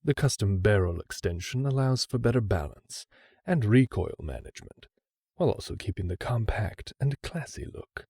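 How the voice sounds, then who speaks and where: A man performs a deferential, polished arms sommelier in an assassin audio roleplay. His voice is husky and mellow, captured close and dry. He phrases everything with formal, measured courtesy. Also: on the low side, very animated, no room sound, heavily acted. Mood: suave, expert. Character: courteous, refined male weapons sommelier serving assassins. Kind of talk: roleplay audio drama acting.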